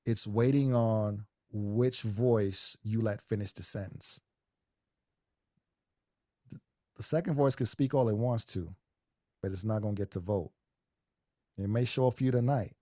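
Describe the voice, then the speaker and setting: A man's voice: fairly low pitch, a little slow, pauses often, dark tone, dry recording, clean studio sound. A man giving a motivational team talk on a video call, informal and emphatic, with slangy asides. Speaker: team training speaker and coach.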